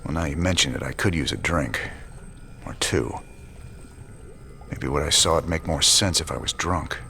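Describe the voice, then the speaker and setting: A low-voiced, world-weary male rebel commander talks in gruff, laconic, folksy lines, as in a sci-fi game. He sounds embittered, over a clearly noisy background. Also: moderate pace, bright, forceful tone, heavily acted. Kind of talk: video game cutscene dialogue.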